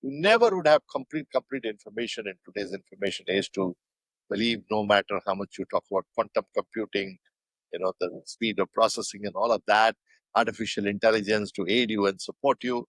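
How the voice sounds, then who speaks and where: Thoughtful older Indian man, low voice. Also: a bit bright, no echo, rough recording. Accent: Indian English. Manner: measured, thoughtful, frequent fillers and restarts. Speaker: guest. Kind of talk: reflective interview answers.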